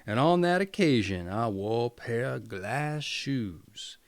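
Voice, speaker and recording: A man's voice: mid-range voice, sing-song, slow, no echo. A man reading a fairy tale aloud as a storyteller, with theatrical, emphatic delivery. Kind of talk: expressive scripted fairy-tale narration.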